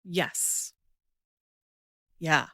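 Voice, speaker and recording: A woman's voice: very slow, fairly bright tone, no room sound, clean studio sound. A woman hosting a podcast interview in casual conversation. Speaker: podcast host.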